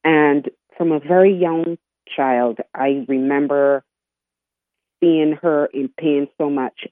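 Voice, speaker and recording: A woman in her sixties from Barbados, a guest talking in an interview, often halting and restarting her sentences. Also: low voice, no room sound. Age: 60s. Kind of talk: interview conversation. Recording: tinny phone line.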